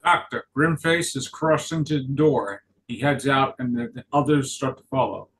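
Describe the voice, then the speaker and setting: A man narrating stage directions at a script table read, halting and correcting himself as he goes. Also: medium pitch, fairly bright tone. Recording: clear background noise.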